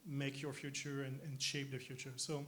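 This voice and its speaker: A young man from France with a French accent, answering stage interview questions thoughtfully with frequent pauses. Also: medium pitch, flat delivery, fairly bright tone. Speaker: interviewed guest, company co-founder. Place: conference arena stage.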